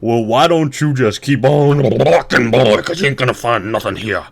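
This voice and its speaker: A man's voice: mid-range voice, animated, moderate pace, vocal fry. A man voicing a touchy, dismissive bull with a blunt, folksy way of talking, in a comic story. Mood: gruff, dismissive. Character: gruff, easily offended male bull, dismissive country type.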